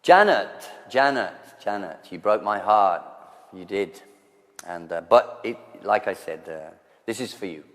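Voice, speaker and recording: A man's voice: fairly low pitch, expressive, measured pace, in a large hall. A man voicing a self-pitying, lovelorn male lounge singer with a droopy croon. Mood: mournful.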